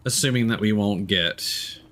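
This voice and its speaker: A man's voice: on the low side, measured pace, fairly bright tone. A man doing casual live gameplay commentary as a streamer, musing and thinking aloud. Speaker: game streamer.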